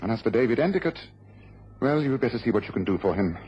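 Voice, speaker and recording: A man playing a commanding, precise gentleman detective in a radio drama. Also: medium pitch, expressive, fast talker, close to an everyday voice. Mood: grave. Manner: authoritative, precise, explanatory. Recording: dull lo-fi radio, faint noise.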